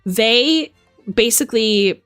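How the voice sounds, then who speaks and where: A woman's voice: fairly high pitch, animated, slow, a bit bright, dry recording. A woman streamer and game master delivering a casual, opinionated rant, emphatic, theatrical and sweary, close on the microphone. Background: music.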